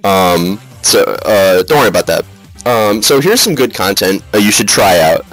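A man's voice: lowish voice, expressive, fairly bright tone, low-quality recording. Rambling, foul-mouthed man with music behind. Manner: rambling, halting, profane, mock-serious. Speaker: reviewer, video host. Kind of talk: casual comedic slideshow commentary.

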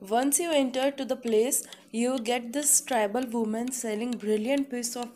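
A woman's voice: on the high side, steady tone, unhurried, background noise. A woman from Chhattisgarh with an Indian accent, narrating a travel vlog. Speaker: travel vlogger.

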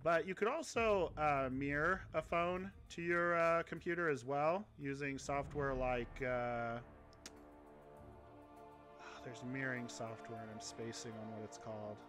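A man's voice: medium pitch, measured pace, fairly bright tone, faint music behind. Chatty young man streaming a game. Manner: chatty, rambling, laughs at himself. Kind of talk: casual live-stream chat. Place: home streaming room. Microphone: headset.

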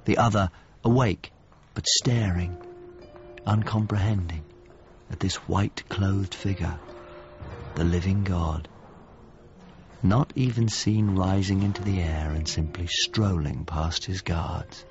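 A haunted, melancholy painter in a radio play. His voice is low and he speaks reflectively, as if confessing, with music underneath. The sound is slightly dull. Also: slow. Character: Mario, melancholy haunted painter, a grown man. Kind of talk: naturalistic radio drama acting and monologue.

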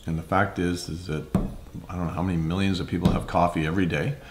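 A man in his fifties with a Canadian accent, telling his story in a casual interview in halting, hesitant phrases. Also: low-pitched, little pitch movement. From Ottawa, Canada. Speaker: investor and mentor being interviewed. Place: home kitchen, indoors.

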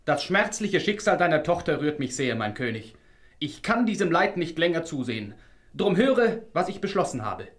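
An actor playing a brave, resolute young hero in a fairy-tale audio drama, with earnest, formal diction. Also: male voice, on the high side, sing-song, normal speed.

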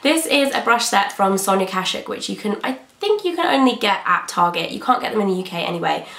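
A young British woman chatting through a beauty haul, her voice slightly croaky from a cold. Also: medium pitch, animated, a little fast, fairly bright tone, reverberant. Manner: chatty, gushing about products. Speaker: beauty vlogger. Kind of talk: casual haul video chat. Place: bedroom filming set indoors.

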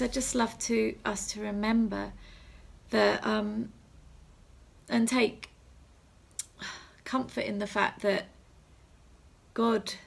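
Creaky, husky woman. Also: mid-range voice. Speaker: reverend leading a school assembly. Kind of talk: gentle reflective assembly talk and prayer for children. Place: small room at home. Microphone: phone or webcam at arm's length.

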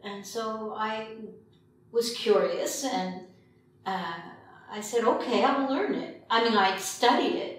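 An older woman from Quebec reflecting in an interview as a filmmaker, pausing often mid-sentence. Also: a little high. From Quebec, Canada. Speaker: documentary filmmaker being interviewed. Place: indoor meeting room at a long table. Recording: very echoey room, distant mic, faint noise.

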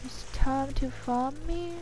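High-pitched woman muttering through gameplay. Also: slow pace. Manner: muttering short reactions to herself. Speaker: gamer, host of a playthrough series. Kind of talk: casual gameplay commentary. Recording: game music behind voice.

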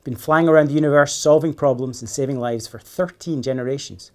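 A man's voice: medium pitch, brisk, close to an everyday voice. An affable, wry male sidekick from a children's storybook, acted out by a man reading aloud. He talks casually and matter-of-factly, with dry understatement. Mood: plain. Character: affable, wry older male bus-driver companion.

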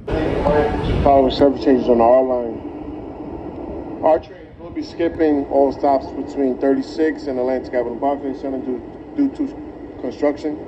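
A man's voice: medium pitch, steady tone, normal speed. A man working as a train conductor, making live service announcements in a formal, instructive way. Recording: distant muffled train PA, loud noise. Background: subway car noise.

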